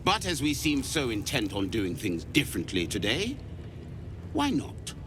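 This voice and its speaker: Imperious alien warrior drillmaster, slow and scornful. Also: male voice, medium pitch, huge pitch swings, noisy background. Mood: cold contempt. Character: harsh githyanki drill instructor, contemptuous, commanding adult male warrior. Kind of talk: video game voice acting.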